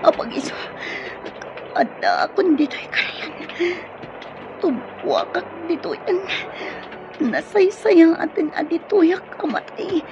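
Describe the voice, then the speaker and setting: A woman's voice: very high-pitched, expressive, slow pace, background noise, full character voice. A woman with a Filipino accent playing a frightened young woman rebel, anxious and pleading, in a radio drama. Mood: panicked, desperate. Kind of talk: Filipino radio drama acting with inner narration. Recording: muffled lo-fi radio drama audio.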